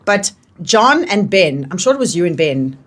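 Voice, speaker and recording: A woman's voice: on the low side, big pitch swings, normal speed, a bit bright. A woman chatting casually as a radio interviewer. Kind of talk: casual chat.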